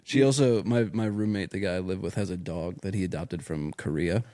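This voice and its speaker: A man's voice: low-pitched, fairly quick, mellow, dry recording. A young American man hosting a podcast in casual, slangy chat with plenty of swearing. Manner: informal, slangy, swears freely. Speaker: podcast host.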